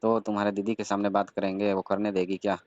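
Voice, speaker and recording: Deep, flat-toned man on an echoey call. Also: fast. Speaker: caller. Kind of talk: casual flirtatious phone chat. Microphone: phone call. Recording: band-limited phone call recording with echo.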